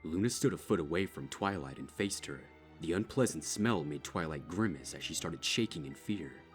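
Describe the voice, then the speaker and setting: Casting: male storyteller with a profoundly deep voice for scripted horror narration over soft music. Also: animated, moderate pace. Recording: music behind.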